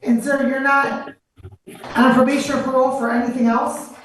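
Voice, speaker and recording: A woman presiding as a judge in court, speaking in a firm, measured way and stopping people to question them. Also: sits high, level tone. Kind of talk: court proceedings over video. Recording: echoey and distant with faint noise.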